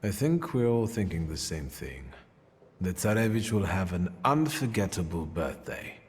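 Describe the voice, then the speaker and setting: A man's voice: low-pitched, big pitch swings, normal speed, breathy edge, exaggerated voice. An actor playing a brooding, self-assured male occultist in a period video game, stern and commanding. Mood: grave.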